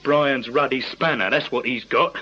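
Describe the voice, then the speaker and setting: A man's voice: mid-range voice, moderate pace, lightly acted. An actor playing a blunt, aggrieved small-time male crook with a working-class English accent in a radio crime drama. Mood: angry, accusing. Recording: muffled, noisy radio drama recording.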